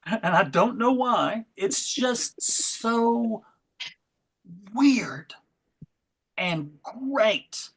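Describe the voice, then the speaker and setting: A woman's voice: a little high, expressive, extremely slow, long pauses, fairly bright tone, slightly rough, rough recording. A woman presenting at a table read, chatting casually and unscripted to an audience.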